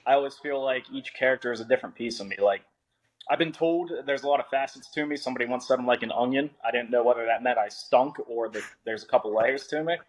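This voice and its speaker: Young guy author on a podcast, relaxed and jokey, cusses a lot, mid-pitched voice. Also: fast talker, low-quality recording. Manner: laid-back, joking, swears freely. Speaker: author guest. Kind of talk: casual podcast interview.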